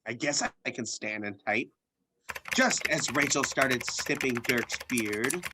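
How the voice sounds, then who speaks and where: A woman playing an earnest, easily flustered kid writing a story in a radio play. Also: rumbling low. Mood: hesitant, earnest. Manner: narrates haltingly, easily flustered. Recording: noisy recording with clicks.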